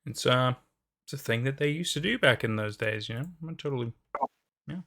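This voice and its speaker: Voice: mid-range voice, animated, a little fast, fairly bright tone. A podcast host in casual chat, reacting to a guest's story with short, enthusiastic interjections.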